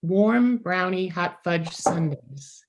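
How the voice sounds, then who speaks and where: A woman's voice: fairly low pitch, slow, rough recording. Woman, from North Carolina, educator, upbeat, video call. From North Carolina, USA. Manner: upbeat and excited. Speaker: educator and ambassador. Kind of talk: panel self-introduction.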